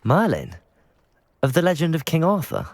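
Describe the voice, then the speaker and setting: A man's voice: a little high, huge pitch swings, normal speed, a little mellow, no echo. A man voicing a polite, inquisitive young student wizard, the hero of a video game. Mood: curious.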